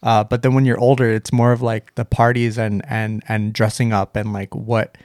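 A man's voice: fairly low pitch, level tone, moderate pace, darkish tone, no echo, clean studio sound. A man from Vancouver, a podcast guest in casual chat, hesitant and full of fillers. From Vancouver, Canada.

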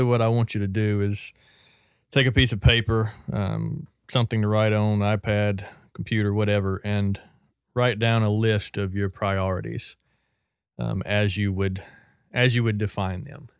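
A man hosting a podcast, leading a reflective discussion in a plain-spoken, measured way. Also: lowish voice, smooth, no room sound, clean studio sound. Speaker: podcast host.

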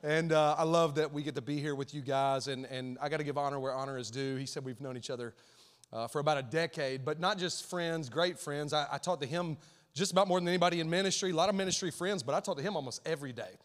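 A man in his thirties with an Alabama accent, a guest pastor opening his sermon with folksy, animated talk to the congregation. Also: a little high, quick pace. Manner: folksy, animated, repeats words, rallies the crowd. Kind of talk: sermon opening, casual and energetic. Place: large church auditorium. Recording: long reverb of a large hall.

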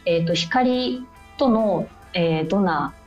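A woman's voice: fairly low pitch, expressive, slow pace, darkish tone, lo-fi sound, soft background music. A woman speaking as a radio interview guest, explaining things politely in a conversational, somewhat hesitant way. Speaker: guest, film publicist.

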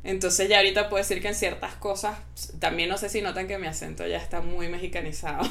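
A woman's voice: lowish voice, fast talker, gravelly. A Venezuelan woman with a Venezuelan Spanish accent, chatting casually and telling stories full of mimicked voices and laughter. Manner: animated storyteller, mimics other accents, laughs often. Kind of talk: casual chat.

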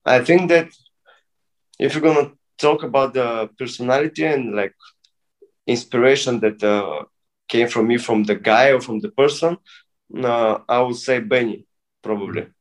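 Man in his thirties, halting English. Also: mid-range voice, poor audio. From Bulgaria. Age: 30s. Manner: halting non-native English with many restarts. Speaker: guest breakdancer. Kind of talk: casual interview.

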